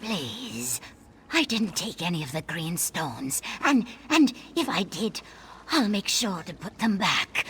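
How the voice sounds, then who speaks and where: A woman's voice: on the low side, big pitch swings, slow pace, fairly bright tone, slight background noise, heavily acted. A woman voicing a frightened, timid lizard-folk character in fantasy video game dialogue. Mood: terrified pleading.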